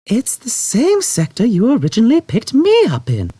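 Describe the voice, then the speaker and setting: A clever young woman acted by a man in a sci-fi audiobook, pitched well up. She is patient yet exasperated, speaking in controlled, pointed phrases with a lifting tone. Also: huge pitch swings, moderate pace, mellow, husky, no echo, full character voice. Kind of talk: audiobook character voice.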